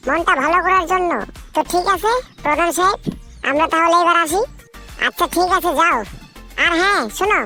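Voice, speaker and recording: A performer voicing a cheerful, polite talking bird in a cartoon, with a childlike high-pitched voice. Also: sharp tone, full character voice. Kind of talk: cartoon dialogue.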